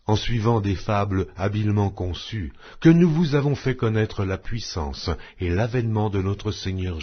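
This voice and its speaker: A man giving a measured, solemn scripture reading, his phrases falling at their ends. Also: low voice, breathy edge, dull audio. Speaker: Bible reader.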